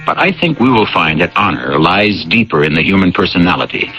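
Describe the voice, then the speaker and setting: Low-voiced man narrating radio drama. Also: very animated, brisk, pushed and bright, faint music behind. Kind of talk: measured scripted philosophical narration. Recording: dull old radio broadcast recording.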